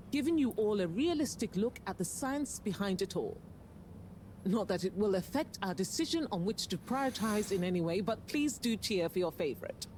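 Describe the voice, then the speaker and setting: A woman plays a formal, brisk corporate chief in a video game. Her pitch moves a lot as she announces. A faint hiss sits behind her. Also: a little mellow, lightly acted. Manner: formal, curt. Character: brisk female corporate CEO host.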